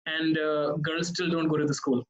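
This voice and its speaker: A man from Pakistan, a consultant guest, explaining his points in a measured way. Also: a little high, little pitch movement, muffled recording. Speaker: guest, social impact consultant. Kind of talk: interview answers.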